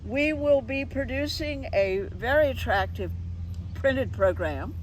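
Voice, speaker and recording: A woman event organizer speaking off the cuff and in an animated way as she promotes a community event in an interview. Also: high-pitched, unhurried, low-quality recording. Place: outdoors in a downtown park. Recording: outdoor recording with clear traffic noise.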